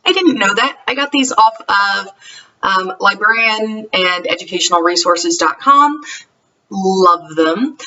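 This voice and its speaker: A woman's voice: medium pitch, lively intonation, a little slow, pushed and bright, far from the mic, muffled recording. A woman talking casually and enthusiastically, like a homeschool vlogger reviewing books. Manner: chatty, enthusiastic. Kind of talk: casual vlog book review.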